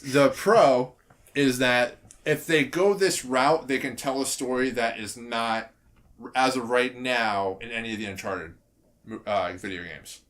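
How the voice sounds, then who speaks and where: A man with a medium-pitched voice hosts a relaxed group podcast. He keeps the discussion on track and teases his co-hosts in a conversational way. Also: measured pace. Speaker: podcast host. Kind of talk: casual group podcast chat.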